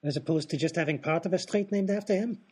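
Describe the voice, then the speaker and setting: A deadpan professor sidekick, as a man plays him in a radio comedy. His delivery stays dry, with a husky tone. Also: on the high side, fast. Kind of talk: comic radio play acting.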